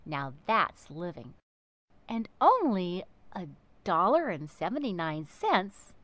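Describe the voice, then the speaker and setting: A woman narrating a children's book in an expressive, theatrical way, with dramatic emphasis and pauses. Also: lowish voice, no room sound.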